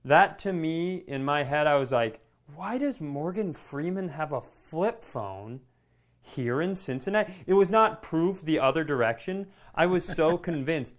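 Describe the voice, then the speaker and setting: A man co-hosting a comedy podcast, telling anecdotes casually with animated, self-deprecating delivery and some swearing. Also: a little high, a little slow. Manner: animated storytelling with profanity.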